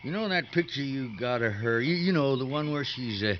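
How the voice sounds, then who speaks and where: Actor doing a wheedling, simple-minded convict. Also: male voice, mid-range voice, expressive, brisk, lightly acted. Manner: wheedling, hesitant, chuckling. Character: fat, needling, eager-to-please simpleton convict. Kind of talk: 1950s radio drama acting. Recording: muffled, hissy 1950s radio recording.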